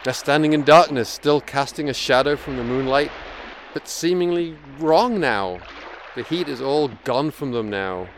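A man's voice: medium pitch, animated, unhurried, a little hiss or hum. A man playing a grieving, reflective elderly widower in an audio drama, speaking in a weary, wistful way. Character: grieving elderly widower looking back on his life, weary. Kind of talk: audio drama acting with monologue.

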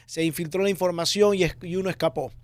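A man from Ecuador, a security expert guest, explaining things in a measured way with some restarts. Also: sits high, steady tone, dry recording. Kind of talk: interview answers.

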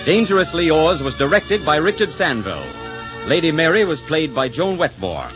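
A man's voice: fairly high pitch, big pitch swings, normal speed. A man announcing on 1940s radio, polished and persuasive in advertising style. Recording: muffled 1944 radio broadcast with music.

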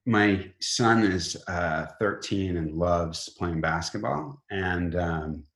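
Middle aged guy, real low voice, casual interview chat, kinda halting, roomy echo. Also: vocal fry, fairly bright tone. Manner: informal, halting, frequent self-rephrasing. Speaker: company chief executive, podcast guest.

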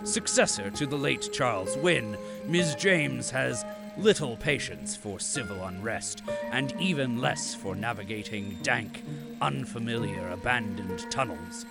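An actor playing a pompous corporate propaganda narrator in an audio drama, florid and measured in delivery. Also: male voice, medium pitch, lively intonation, vocal fry. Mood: pompous, disdainful. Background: music.

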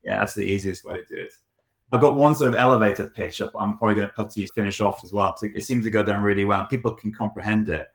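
Male studio director guest, low voice, thoughtful explainer, roomy echo. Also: quick pace. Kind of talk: conversational interview answers.

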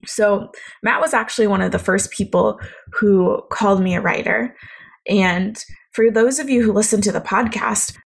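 A young woman with a naturally quiet voice, talking reflectively in a solo podcast monologue. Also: medium pitch, normal speed, gravelly, husky, rough recording. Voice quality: quiet, soft-spoken voice. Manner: reflective, conversational, thoughtful. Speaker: podcast host.

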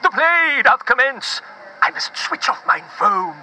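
A grandiose, earnest prince from a Shakespearean stage play. The voice is high and very lively, declaiming urgently in archaic style, with a busy crowd around it. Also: male voice, measured pace, exaggerated voice. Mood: urgent, grand. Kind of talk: mock-Elizabethan audio drama, leaving a phone message.

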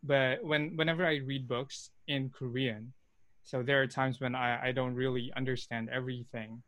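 A man chatting casually and asking questions, relaxed, with frequent fillers. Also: medium pitch, normal speed, no room sound. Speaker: conversation partner asking questions. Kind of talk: casual conversation.

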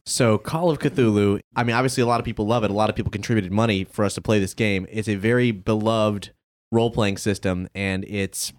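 A man's voice: lowish voice, fairly even intonation, fairly quick. A man hosting a casual podcast, introducing guests and asking them questions. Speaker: podcast host.

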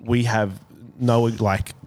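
A man's voice: mid-range voice, flat delivery, slow, darkish tone. A man co-hosting a podcast, teasing bluntly and swearing in casual banter. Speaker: podcast co-host.

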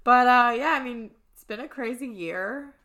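A woman co-hosting a podcast in casual, excitable, chatty banter. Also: fairly high pitch, normal speed. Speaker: podcast co-host.

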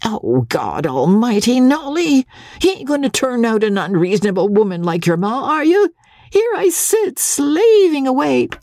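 A woman voicing an excitable old riverboat captain, a fussing father with folksy, rustic speech in a storybook reading. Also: fairly high pitch, unhurried, no room sound, pitched above the performer's own voice. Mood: exasperated, pleading.

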